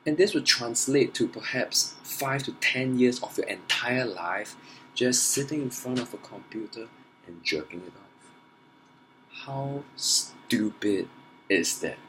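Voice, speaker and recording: A man's voice: medium pitch, lively intonation, takes it slowly, a bit bright, slight background noise, rough recording. A young man talking earnestly and emphatically to camera in a personal challenge vlog, trying to persuade his viewers. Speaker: vlogger.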